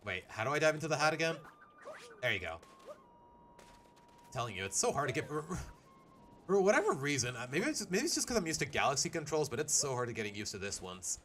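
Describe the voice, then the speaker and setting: A man's voice: medium pitch, big pitch swings, fast, pauses often, a bit bright. A man live-streaming a video game, chatting casually to his viewers and thinking aloud as he plays. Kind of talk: casual live-stream chat while playing.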